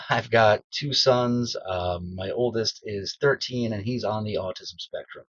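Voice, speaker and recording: A man's voice: fairly low pitch, measured pace, fairly bright tone, no room sound, muffled recording. Congested man in a dry closet. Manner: rambling, self-deprecating, many ums. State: congested, recovering from strep throat. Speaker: beginner voice actor. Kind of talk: casual personal vlog. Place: small cedar closet booth.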